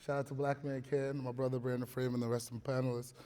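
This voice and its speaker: A man's voice: medium pitch, little pitch movement, quick pace, warm tone. A man from the Bronx, introducing himself on a panel in a matter-of-fact way. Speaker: panel guest, founder.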